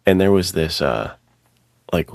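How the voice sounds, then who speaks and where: An extremely deep male voice, creaky and close in a dry space. He talks as a podcast co-host in a relaxed, slangy and reflective way, like casual conversation between friends. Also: measured pace, dry recording.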